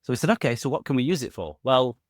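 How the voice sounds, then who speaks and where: A man's voice: medium pitch, expressive, fast, dry recording, clean studio sound. A middle-aged man, a podcast guest talking casually in a rambling, self-correcting way with jokey asides. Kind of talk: casual conversational interview.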